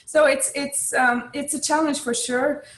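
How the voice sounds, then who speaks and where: A woman in her forties with a Hungarian accent, speaking in a fairly high voice. She talks thoughtfully, restarting sentences and pausing on fillers. The room around her sounds echoey. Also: fairly even intonation, fairly bright tone. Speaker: guest, chess club leader. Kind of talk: conversational interview.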